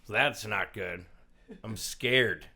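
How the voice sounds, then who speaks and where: A man's voice: fairly low pitch, slow, gravelly, bright, forceful tone. A man in his twenties from Connecticut, a comedian chatting loosely as a podcast guest and swearing freely. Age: 20s. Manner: rambling, swears casually. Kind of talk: casual podcast chat.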